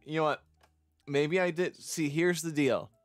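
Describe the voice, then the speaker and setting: A man giving casual, chatty commentary as they show a visual novel, often halting and interrupting themselves with asides. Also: on the high side, no room sound. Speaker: vtuber streamer showing their own visual novel. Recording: music under the voice. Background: music.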